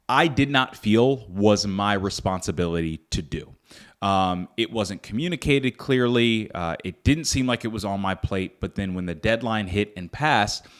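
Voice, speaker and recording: A young man from Northern Virginia hosting a solo podcast, earnest and reflective, with frequent fillers and restarts. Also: lowish voice. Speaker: podcast host. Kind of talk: casual solo podcast talk.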